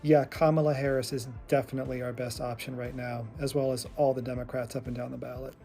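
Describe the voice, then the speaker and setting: A man with a soft-edged, mellow voice talks quickly as he gives casual reaction commentary. He comes across as condescending, with light music in the background. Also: mid-range voice, level tone, gravelly. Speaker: political commentator reacting to videos.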